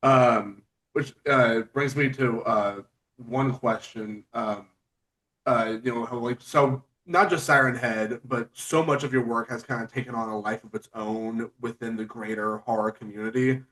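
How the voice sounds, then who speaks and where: A man hosting a casual interview, putting his questions in a halting, loosely worded way. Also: mid-range voice, fairly even intonation, a bit bright, rough recording. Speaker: podcast interviewer.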